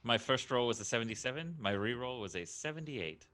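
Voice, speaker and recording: A man's voice: mid-range voice, lively intonation, brisk, a crowd in the background. A man talking casually as a player in a tabletop roleplaying game.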